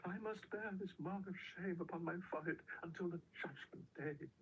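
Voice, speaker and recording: A man's voice: high-pitched, pitched above the performer's own voice. A man voicing an anguished, pious young married woman in an audiobook, with a dramatic, despairing wail. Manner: wailing, drawn-out, dramatic. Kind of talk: audiobook character voice. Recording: dull lo-fi, clearly noisy audio.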